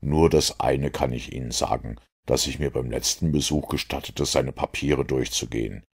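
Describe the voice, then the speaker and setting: Guy reading as a super deep gravelly detective, calm, lecturing, self-assured, audiobook style. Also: exaggerated voice. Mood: calm, knowing. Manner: measured, didactic, self-assured. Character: composed, lecturing master detective, adult man.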